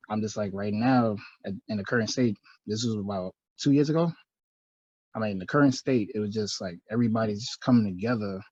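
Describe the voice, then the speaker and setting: A man answering interview questions about his clothing line in a soft-spoken, halting way, laughing between thoughts. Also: on the low side, breathy edge, no room sound. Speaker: interview guest, clothing designer. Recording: dry, dull lo-fi audio.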